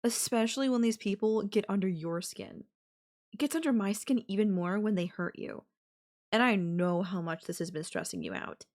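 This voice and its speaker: A woman playing a possessive, doting young yandere girlfriend in an intimate audio roleplay, speaking coyly and affectionately with lingering pauses. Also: mid-range voice, very animated, darkish tone. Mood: protective, scornful.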